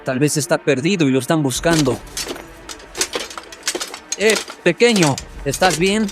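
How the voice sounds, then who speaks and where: A man voicing a jumpy, superstitious townsman in a horror radio drama, with an excitable, dramatic delivery. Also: on the high side, measured pace, pitched above the performer's own voice. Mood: puzzled, concerned. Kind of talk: audio drama acting. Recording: music clearly under the voice.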